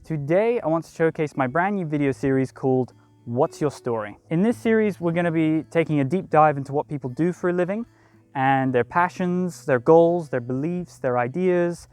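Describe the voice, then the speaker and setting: A man's voice: a little high, moderate pace, darkish tone, dry recording. A man hosting a video, giving a scripted introduction to the series. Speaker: video host, filmmaker.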